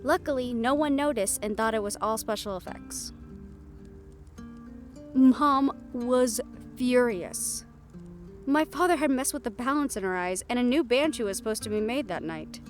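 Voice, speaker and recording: Woman acting a high-voiced, affectionate young siren. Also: moderate pace, soft background music, lightly acted. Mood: wry, amused. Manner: soft-hearted, teasing, conversational storytelling. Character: young female siren-banshee hybrid, affectionate, wry, playful. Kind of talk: intimate roleplay audio drama acting.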